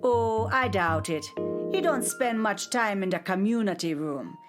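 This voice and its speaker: Slow, gentle, folksy caretaker voiced by a woman; motherly and patient. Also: mid-range voice, animated, over music, close to an everyday voice. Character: kindly nursing home caretaker woman. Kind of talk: audio drama acting.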